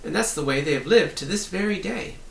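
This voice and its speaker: An eager storybook boy, played by a man, pitched up and full of cheerful wonder. He explains things with great emphasis. The recording is roomy and distant, with some hiss. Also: expressive, fairly quick, creaky, a bit bright. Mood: matter-of-fact, emphatic. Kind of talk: audiobook character voice.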